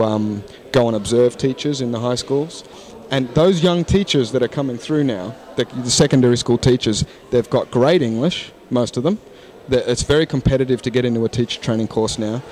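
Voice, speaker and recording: A man's voice: mid-range voice, darkish tone. A man, a guest in a casual interview, talking frankly and thoughtfully with many restarts, into a handheld mic at a conference. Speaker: interview guest, English teacher. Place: conference hall lounge, indoors.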